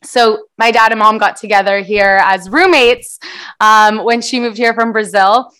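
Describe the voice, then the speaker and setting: A woman's voice: fairly high pitch, fairly even intonation, a little slow, fairly bright tone, clear, resonant tone. A young American woman, a native Portuguese speaker from San Diego, giving reflective, conversational answers as an interview guest. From San Diego, United States. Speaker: interview guest, musician and publicist.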